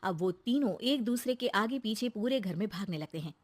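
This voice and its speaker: A woman's voice: medium pitch, animated, a little fast, dry recording. A woman reading scripted narration for a children's story as the storyteller.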